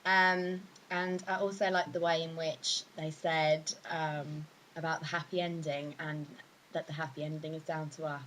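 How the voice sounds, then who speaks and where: A young woman giving her opinion as an interviewee in a casual, halting way. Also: low voice, little pitch movement, fairly bright tone, slight background noise, poor audio.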